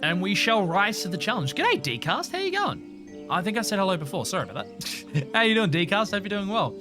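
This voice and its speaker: An Australian man giving casual, expressive game commentary and chatting with viewers on a livestream. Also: high-pitched, brisk, music in the background. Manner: expressive, sweary.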